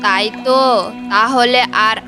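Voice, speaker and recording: A woman's voice: fairly high pitch, fairly even intonation, slow. A woman voicing a caring, worried fairy mother trapped as a frog in a children's cartoon, faint music behind. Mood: worried, motherly.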